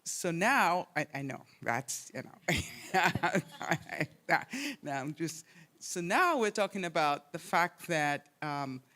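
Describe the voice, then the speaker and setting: Deep-voiced, halting audience questioner. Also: animated, pushed and bright. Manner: halting and searching, repeats words. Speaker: audience member asking a question. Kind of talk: question from the floor at a conference.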